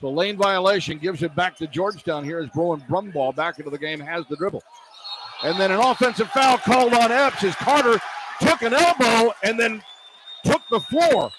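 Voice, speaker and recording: A man doing live basketball play-by-play on the radio as the announcer, animated and quick to exclaim at the action. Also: high-pitched. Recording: poor radio feed, clear background noise.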